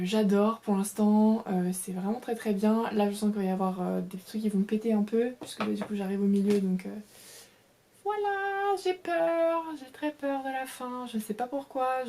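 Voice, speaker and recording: A woman's voice: mid-range voice, moderate pace, warm tone, slight background noise. A young woman chatting casually about her reading in a vlog, enthusiastic and full of fillers and restarts. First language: French. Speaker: book vlogger.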